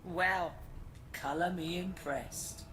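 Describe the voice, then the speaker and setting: A haughty, dry-witted talking mask from a video game. It drawls its sarcasm and looks down on whoever is listening. The tone stays mocking and condescending. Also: female voice, fairly low pitch, steady tone, takes it slowly, crowd noise.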